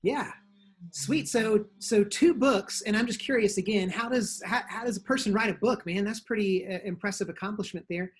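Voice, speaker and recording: Laid-back male podcast host, slightly husky. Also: high-pitched, normal speed. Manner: laid-back, uses "man" and "very cool". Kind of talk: casual interview hosting.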